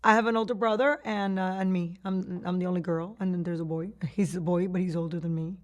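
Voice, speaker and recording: A woman's voice: on the low side, steady tone, a little fast, highs cut off. Cuban-born woman recalling her past. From Cuba. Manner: reflective storytelling with frequent restarts and laughs. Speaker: interviewee. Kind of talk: oral history interview.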